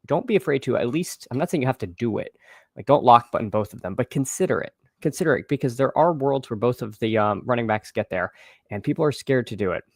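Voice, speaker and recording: Slightly hoarse man hosting a casual livestream. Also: medium pitch, dry recording, studio quality. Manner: halting, repeats phrases as he thinks aloud. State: slightly hoarse, froggy after losing his voice at a game. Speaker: fantasy football livestream host. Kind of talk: casual livestream chat and sports commentary.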